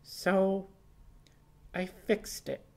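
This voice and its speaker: A man plays a young woman hacker on the run in an audiobook, pitched well up high. The figure is defiant and earnest, explaining in an urgent flow with wry little asides. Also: extremely slow, vocal fry, full character voice. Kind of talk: audiobook character dialogue.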